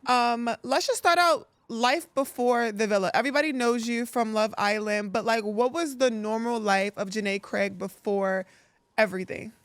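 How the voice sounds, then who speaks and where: A woman's voice: a little high, normal speed, no echo. A woman hosting a podcast in a casual interview style, chatting in slang with affirming interjections. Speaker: podcast host.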